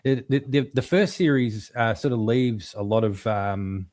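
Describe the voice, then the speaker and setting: A male podcast co-host chatting casually about comics, halting and full of ums and restarts but enthusiastic. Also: medium pitch, darkish tone, no room sound.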